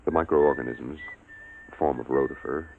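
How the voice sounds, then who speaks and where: A man narrating a 1950s radio drama in a formal, measured, scripted style. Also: extremely low pitch, fairly even intonation, gravelly, a little hiss or hum. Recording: vintage radio, narrow band, faint music behind. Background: faint music.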